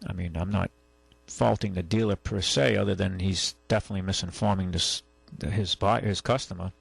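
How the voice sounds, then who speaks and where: A man hosting a call-in radio show, giving casual advice in a halting, rambling way. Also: sits low, steady tone, gravelly, husky, no room sound, faint music behind. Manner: halting, rambling, conversational explanations. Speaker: radio call-in show host, car dealer.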